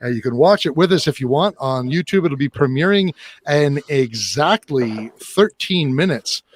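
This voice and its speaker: A man's voice: fairly high pitch, expressive, normal speed, dry recording. A man co-hosting a casual podcast over a video call, rambling, swearing freely and poking fun at himself. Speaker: podcast co-host.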